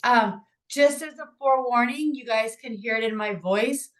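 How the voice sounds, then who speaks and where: A woman in her forties with a raspy, hoarse voice, hosting a casual podcast in an upbeat, encouraging way with frequent fillers. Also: on the high side, normal speed. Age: 40s. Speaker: podcast host and author.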